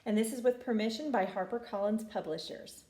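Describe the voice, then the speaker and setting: A woman reading a children's picture book aloud with expressive, folksy inflection. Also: a little high, brisk, echoey room. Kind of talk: animated children's story reading.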